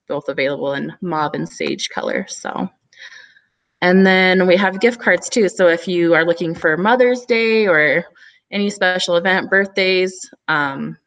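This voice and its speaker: A woman with a cold, a guest on a live video chat, walking through products in a casual way with lots of fillers. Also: fairly low pitch. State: has a cold. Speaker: guest business owner.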